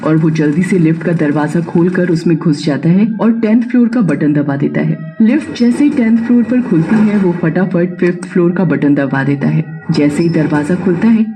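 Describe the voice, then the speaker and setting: A man with a high-pitched voice reads a scripted horror story. His delivery is dramatic and builds suspense. Faint music plays underneath. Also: normal speed, hardly any pauses, dark tone. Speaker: narrator.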